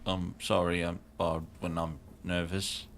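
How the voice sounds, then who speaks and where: Slow-talking man at a game table. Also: deep voice, little pitch movement, a bit bright, a little hiss or hum. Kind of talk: casual in-character table banter.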